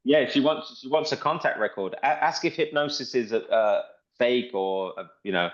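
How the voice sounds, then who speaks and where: A man's voice: medium pitch, moderate pace. A man presenting an informal product demonstration in conversational talk. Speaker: presenter demonstrating a phone assistant. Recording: dull lo-fi audio.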